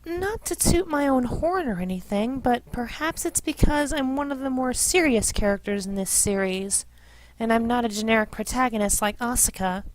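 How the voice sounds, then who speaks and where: A woman's voice: a little high, lively intonation, moderate pace, slight background noise, close to an everyday voice, rough recording. A woman voicing a serious, composed and slightly proud young anime ninja.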